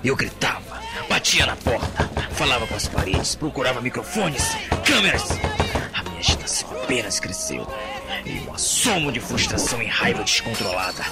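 A man with a Brazilian Portuguese accent playing an anguished captive in an audio drama, narrating his imprisonment in a brooding, deliberate monologue. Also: medium pitch, sing-song, natural voice. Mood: agitated. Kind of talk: dramatic first-person monologue. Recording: music bed under the voice.

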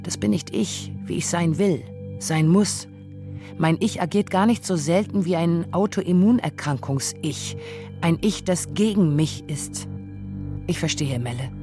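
A woman giving a dramatic reading of a literary radio essay, measured, pensive and full of rhetorical emphasis. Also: fairly low pitch, over music. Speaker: radio play performer.

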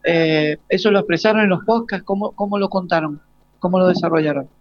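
A man's voice: high voice, no room sound. A man in his forties with an Argentine accent, interviewing casually and often stopping to rephrase his questions. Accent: Argentine Spanish. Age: 40s. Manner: halting, rephrases questions repeatedly. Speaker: radio interviewer. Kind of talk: casual live interview with students. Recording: dull lo-fi live broadcast sound.

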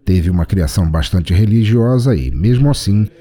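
A man's voice: on the low side, lively intonation, moderate pace, warm tone. A Brazilian man hosting a podcast of short stories, speaking in a scripted, formal and literary way. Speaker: podcast host and story narrator. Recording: music behind the voice. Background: music.

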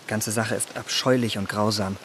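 A man playing an anxious, sensitive young gentleman in a German radio drama, earnest and troubled. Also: on the low side, fairly even intonation, normal speed, slight background noise.

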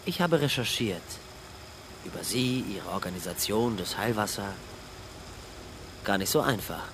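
An actor in a radio play playing a suspicious political aide who probes in a terse, insistent way. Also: male voice, on the low side, expressive, a little slow, background noise. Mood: probing, accusing. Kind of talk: naturalistic radio drama acting.

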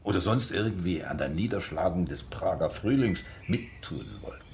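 A man's voice: deep voice, animated, moderate pace, gravelly, darkish tone. An actor playing a self-assured film producer casually recalling his army days on a played-back interview tape in a radio drama. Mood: self-assured, reminiscing. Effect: played-back tape recording. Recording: dull taped interview with background noise.

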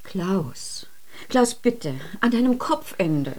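A woman's voice: medium pitch, very animated, slow pace, darkish tone. An actress playing a worried middle-aged mother in a radio drama, coaxing and insistent, often ending on a questioning tag. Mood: coaxing, prying. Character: fussy, worried, gently prying mother.

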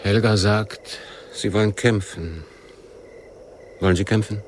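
Radio crime drama male actor: world-weary militant captain, deep register, cool interrogator, slightly noisy recording. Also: steady tone, close to an everyday voice. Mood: cool, probing. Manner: measured interrogation with abrupt probing questions. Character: world-weary, shrewd Palestinian guerrilla captain, coolly probing.

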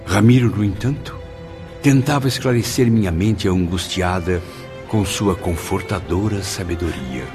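A man's voice: low-pitched, unhurried. A man acting an anguished, compassionate male spirit in a radio drama, pleading and lamenting with dramatic delivery. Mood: sorrowful recollection. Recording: music under the voice. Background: background music.

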